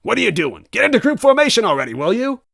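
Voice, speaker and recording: A man's voice: very high voice, big pitch swings, fast, vocal fry, a bit bright, no room sound, clean studio sound, pitched above the performer's own voice, exaggerated voice. A man voicing a villainous, frantic robot with a screechy, theatrical delivery for a story reading. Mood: angry, frustrated. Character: villainous spear-shaped robot, male, shrieking, panicked and menacing.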